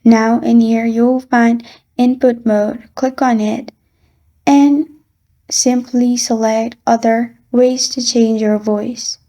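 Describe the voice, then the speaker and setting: A woman narrating a step-by-step software tutorial as its host, in a plain, measured instructional manner. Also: fairly high pitch, flat delivery.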